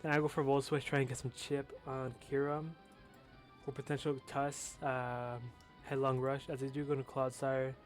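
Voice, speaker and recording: A man's voice: mid-range voice, little pitch movement, moderate pace, faint music behind. A young man with a lisp casually commentating his own competitive match, talking loosely with fillers and the odd swear word.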